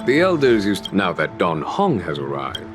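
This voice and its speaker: An actor playing a calm, shrewd general in a video game, courteous, eloquent and diplomatic. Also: male voice, deep voice, normal speed, over music. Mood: calm, knowing. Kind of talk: video game dialogue acting.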